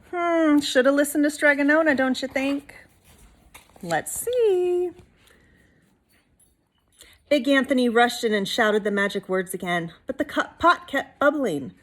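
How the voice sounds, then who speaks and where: A woman reading a storybook aloud expressively to young listeners, with casual asides like a teacher. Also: high-pitched.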